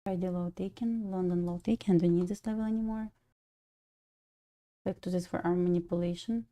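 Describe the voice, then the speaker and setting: Russian-accented woman, mellow voice. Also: on the low side, brisk. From Belarus. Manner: relaxed, thinking aloud, reading chat. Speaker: day-trading livestream host. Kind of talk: casual live-stream commentary.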